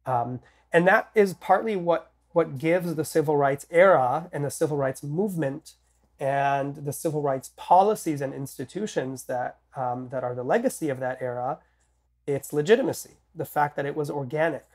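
A man's voice: fairly high pitch, lively intonation. Slightly nasal man with a cold. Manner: measured, deliberate, many ums and restarts. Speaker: political scientist guest. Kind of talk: interview conversation. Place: home office with bookshelves. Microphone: video call.

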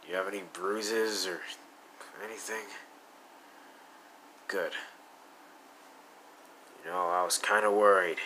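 A man's voice: low voice, fairly even intonation, takes it slowly, long pauses, pushed and bright, slight background noise, low-quality recording. A man voicing a brooding young male villain with blue fire, muttering and sometimes whispering in a fan audio roleplay.

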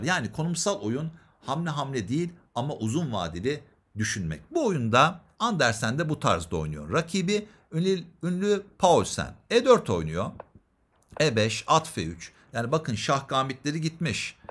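A man's voice: medium pitch, fairly bright tone. A man giving instructional chess commentary, explaining moves and posing questions that he then answers.

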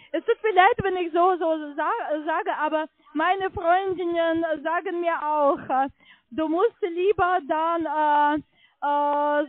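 A woman with a foreign accent in German making a halting phone sales pitch, often searching for words. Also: dry recording. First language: not German. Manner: halting, hesitant speech with frequent searching for words. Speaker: telephone sales agent. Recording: tinny narrowband phone line.

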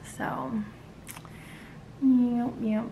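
A woman's voice: on the high side, little pitch movement, extremely slow, dark tone, a little hiss or hum, low-quality recording. A young woman, chatting casually and rambling to her phone camera in her home kitchen while tired. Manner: chatty and rambling, many ums and likes. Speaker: vlogger. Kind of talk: casual vlog chat. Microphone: phone held close.

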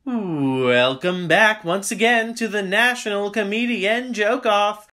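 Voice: medium pitch, takes it slowly, pushed and bright. An actor playing a showy, genial comedy contest host in an audio drama, with polished announcer delivery.

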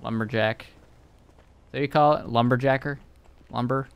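A man commentates a roleplay game in a casual, chatty way. His voice sits at a middle pitch, with faint music underneath. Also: steady tone, normal speed, creaky, clear, resonant tone.

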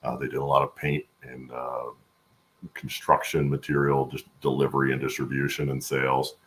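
An American man in his forties with an extremely low, gravelly voice. He talks conversationally as an interview guest over a video call. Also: monotone, normal speed, a bit bright. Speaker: guest, supply chain executive. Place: room at home on a video call.

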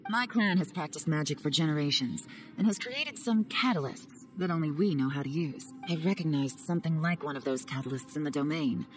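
An actress voicing a cool, secretive young woman agent in a game cutscene, speaking in a calm, measured way. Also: close to an everyday voice. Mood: calm, analytical. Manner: calm, measured, low-key. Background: game music.

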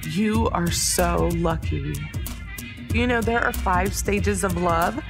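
A woman's voice: fairly low pitch, slow pace, vocal fry, music in the background. Woman playing teasing, supportive best friend. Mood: playful. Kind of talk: audio drama acting.

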